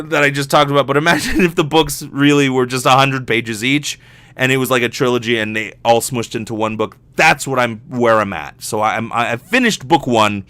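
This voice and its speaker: Bright, forceful man rambling casually on a podcast. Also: medium pitch. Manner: rambling, self-deprecating, jokey, sweary. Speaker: podcast host and professional voice actor. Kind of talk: casual solo podcast monologue.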